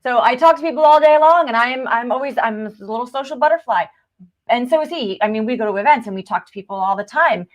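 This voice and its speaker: A woman's voice: fairly high pitch, quick pace, highs cut off. A woman co-hosting a casual, opinionated chat in a blunt, matter-of-fact way. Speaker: talk show co-host.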